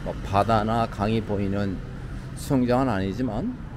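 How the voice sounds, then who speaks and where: A man's voice: lowish voice, normal speed. A man narrating a casual walking tour of a city hotel's outdoor pool, with lots of filler words, recorded on a camera mic outdoors. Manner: conversational, frequent "자" and "뭐" fillers. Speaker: travel vlogger touring a hotel. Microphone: handheld camera mic. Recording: outdoor camera audio with traffic noise. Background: city traffic ambience.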